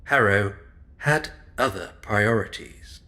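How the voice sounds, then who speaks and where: An actor playing a solemn, watchful manor caretaker in an audio drama, speaking in measured, ominous understatement. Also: male voice, lowish voice, sharp tone. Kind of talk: supernatural comedy audio drama acting.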